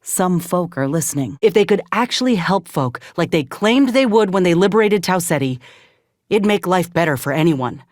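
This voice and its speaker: A woman performs an earnest, steadfast female ex-soldier from a video game space frontier. Her is folksy and plainspoken, with measured delivery. The sound is very dry and close, without room. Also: on the low side, expressive, a little mellow, no room sound, lightly acted. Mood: earnest, determined. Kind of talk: video game character dialogue.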